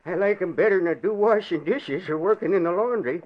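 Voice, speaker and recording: A man's voice: high-pitched, a little fast, lightly acted. An actor playing a simple, meek older man, an asylum inmate who tends dogs, talking in a folksy, deferential way in 1940s radio style. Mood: meek, chatty. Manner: folksy, deferential, chuckling rustic talk. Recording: dull old radio recording.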